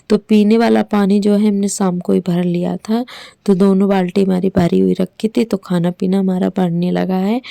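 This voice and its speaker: Hoarse woman vlogger in her thirties, Hindi accent, gravelly, flat delivery, dry close sound. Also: medium pitch, no room sound. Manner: rambling, with many fillers. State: hoarse from a sore throat. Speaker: village vlogger narrating her day. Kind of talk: casual voice-over narration.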